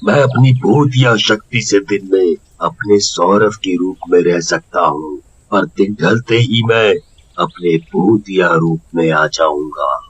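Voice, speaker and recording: A man voicing the vengeful ghost of a murdered village husband in a cartoon, emphatic and deliberate. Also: low voice, lo-fi sound, slight background noise. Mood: resolved. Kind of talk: Hindi cartoon voice acting.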